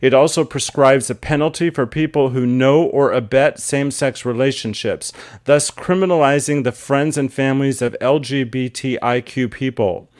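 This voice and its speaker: A man's voice: mid-range voice, darkish tone. Man hosting, reading a statement steadily. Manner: hesitant fillers, measured reading. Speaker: talk show host.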